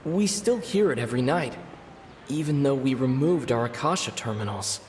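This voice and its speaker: A man playing a thoughtful young traveler hero in a video game, reasoning in a measured way. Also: medium pitch, expressive, background noise, close to an everyday voice. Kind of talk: video game cutscene acting. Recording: long reverb, faint ambience.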